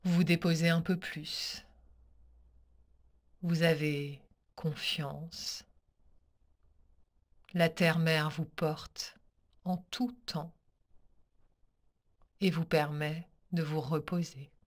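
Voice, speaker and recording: Very slow, low-voiced woman guiding relaxation. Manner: calm, soothing, measured instructions with pauses. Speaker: yoga nidra teacher guiding a practice. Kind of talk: guided relaxation meditation.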